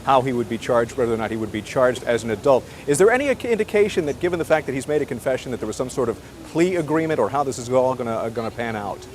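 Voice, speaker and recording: A man's voice: medium pitch, expressive, quick pace, hardly any pauses. An American man anchoring live breaking news outdoors from a residential neighborhood. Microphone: handheld broadcast microphone. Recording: live outdoor TV audio with some noise.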